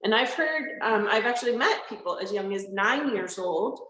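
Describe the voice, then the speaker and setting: A woman's voice: medium pitch, lively intonation, moderate pace, fairly bright tone, echoey room, rough recording. A woman park interpreter giving a live educational talk from inside a historic barracks, explaining simply and answering viewers' questions. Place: indoors in a large historic barracks building.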